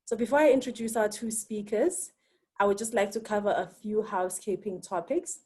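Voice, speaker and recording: A woman's voice: medium pitch, a little fast, darkish tone, slightly rough. A South African woman moderating a webinar, giving a formal introduction read from notes with a few fillers.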